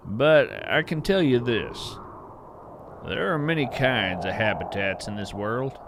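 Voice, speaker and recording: A man playing an earnest wandering naturalist in scripted audio fiction, narrating in a measured, poetic way with deliberate pauses. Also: medium pitch, very animated, noisy background. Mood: careful, reassuring. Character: earnest, wonder-struck wandering naturalist of hidden creatures. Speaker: podcast host narrator in character.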